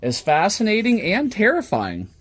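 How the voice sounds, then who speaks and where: Higher-pitched man chatting casually as he works. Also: wildly swooping pitch, normal speed. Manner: unscripted, thinking aloud as he works. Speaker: hobbyist presenter. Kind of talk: casual show-and-tell vlog. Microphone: smartphone camera at the workbench.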